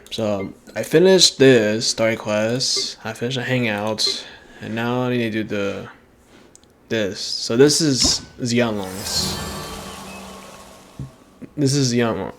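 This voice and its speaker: A man giving casual, halting gameplay commentary, muttering and often repeating himself. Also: lowish voice, slight background noise. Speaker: gaming streamer.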